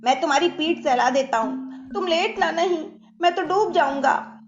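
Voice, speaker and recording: Woman as a high-pitched mischievous little animal. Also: moderate pace, close to an everyday voice. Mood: pleading. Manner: eager, exclaiming, pleading. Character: small mischievous impulsive male animal friend, excitable, pleading. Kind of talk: children's storybook character voice. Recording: echoey dull recording under loud music.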